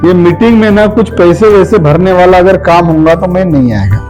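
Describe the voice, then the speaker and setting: A man's voice: high voice, normal speed, a little mellow, faint music behind, rough recording. A man from Maharashtra gives a motivational seminar speech, repeating phrases for emphasis and putting questions to his listeners. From Maharashtra, India. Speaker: motivational speaker for direct selling.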